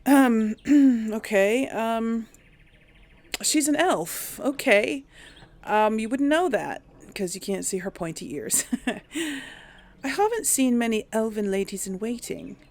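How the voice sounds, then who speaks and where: A woman's voice: on the high side, sing-song, slow pace. A woman hosting a role-play gaming video, musing aloud in casual, chatty commentary and reading out dialogue choices. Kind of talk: casual game commentary mixed with in-character dialogue.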